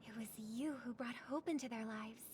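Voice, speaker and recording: A woman playing a gentle, idealistic young female singer in a game, with a soft, gracious and sincere manner. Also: on the high side, normal speed, slight background noise, close to an everyday voice. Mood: gracious, modest. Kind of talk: voiced game dialogue. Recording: music behind the voice.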